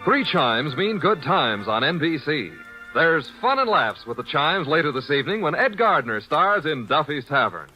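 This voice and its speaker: A 1940s network radio announcer man reads with crisp, emphatic salesmanship and a bright, forward tone. His pitch rises and falls in big, lively sweeps, with faint music underneath. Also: normal speed, rough recording.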